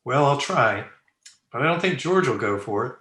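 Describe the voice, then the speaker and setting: A man's voice: mid-range voice, lively intonation, moderate pace, sharp tone. A man playing a suave, flippant bachelor playboy in an old-time radio comedy, breezy and self-satisfied. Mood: easygoing. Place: indoor room, remote video call. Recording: roomy echo on home video-call recording.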